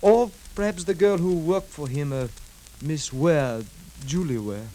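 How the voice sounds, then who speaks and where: A man's voice: fairly high pitch, unhurried, warm tone, close to an everyday voice. A man playing a cautious, self-effacing Egyptian government clerk in a 1950s radio drama, with formal, careful phrasing. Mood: wary. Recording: 1950s radio recording, hissy background.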